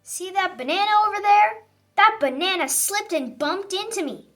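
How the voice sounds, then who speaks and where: A woman's voice: extremely high pitch, expressive, normal speed, faint music behind, voice pushed up. A woman voicing a touchy, grudge-holding sour grape in a dramatic, emphatic read-aloud for children. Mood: grumpy, indignant. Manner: dramatic, emphatic, growly outbursts.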